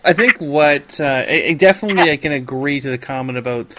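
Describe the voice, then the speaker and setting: Male podcast host, fairly high voice, casual, loosely rambling delivery. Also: brisk, a bit bright. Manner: rambling, self-interrupting. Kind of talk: casual chat.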